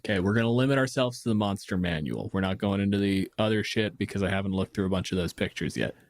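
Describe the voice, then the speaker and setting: Wry low-voiced man in casual chat. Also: fast, no room sound. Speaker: podcast host.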